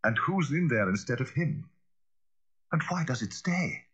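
An anxious old manservant in a storybook, voiced by a male narrator, deferential yet urgent. His voice is husky and lifted, swinging with worry, on a slightly dull recording. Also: medium pitch, animated, unhurried, a bit bright, pitched above the performer's own voice. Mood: agitated, insistent. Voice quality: hoarse. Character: anxious loyal old butler, fearful for his master.

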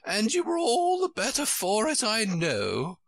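A man voicing a flighty, imperious older queen from a storybook in a shrill, squeaky, swooping voice. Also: huge pitch swings, slow pace, fairly bright tone, no room sound, studio quality, exaggerated voice. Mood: gleeful, insistent. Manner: emphatic, swooping, exclaiming.

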